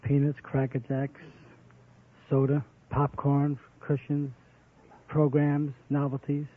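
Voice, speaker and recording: A man answering as a radio quiz contestant in terse, deadpan fragments. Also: medium pitch, slow pace. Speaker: quiz show contestant, ballpark vendor. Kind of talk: brief interview answers. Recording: tinny old radio, studio audience.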